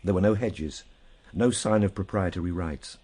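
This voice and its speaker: An actor playing a reflective gentleman traveller, narrating his journey in a measured, literary, solemn manner for a radio drama. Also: low-pitched, a little hiss or hum, lightly acted. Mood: solemn wonder.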